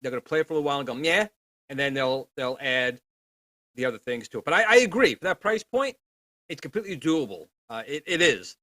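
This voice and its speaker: A man co-hosting a retro gaming livestream, chatting in an informal, animated way. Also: medium pitch, a little fast, no echo. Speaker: co-host of a retro gaming show. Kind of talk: casual livestream chat.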